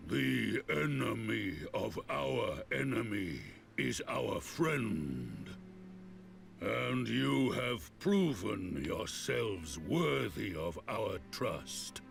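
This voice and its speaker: An actor playing a proud, grave beastman warrior chief in a video game, speaking formally with a gravelly growl. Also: on the low side, very animated, faint music behind, full character voice. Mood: resolute. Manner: slow, stately, formal declamation.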